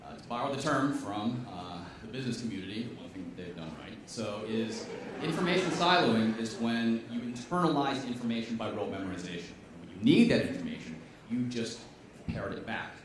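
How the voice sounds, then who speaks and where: A man's voice: lowish voice, brisk, noisy background. Wry man talking from an echoey stage. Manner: wry, emphatic, punchy delivery. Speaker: chemistry professor giving a comedic science talk. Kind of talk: stand-up style science talk. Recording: echoey room, voice from a distance.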